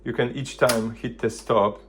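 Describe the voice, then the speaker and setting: A man presenting a casual hands-on product demonstration, thinking aloud in loose, halting phrases. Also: mid-range voice, fairly even intonation, slight background noise. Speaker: vintage hi-fi video presenter.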